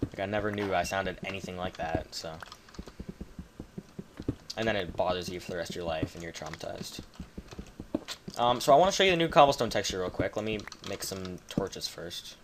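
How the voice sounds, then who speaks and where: Brief: Canadian man, lower register, chatty offhand gameplay commentator with faint keyboard clicks behind. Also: lively intonation, normal speed, pauses often, noisy background. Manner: rambling, chatty, swears casually. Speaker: gaming video creator.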